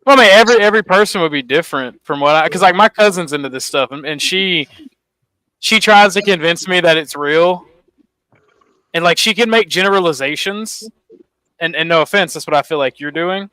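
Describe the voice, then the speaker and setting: A man talks haltingly, restarting and hedging as he makes his point. His tone is bright and a little tense, and the sound is dry and close. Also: a little high, smooth, no room sound, low-quality recording. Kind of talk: casual panel chat.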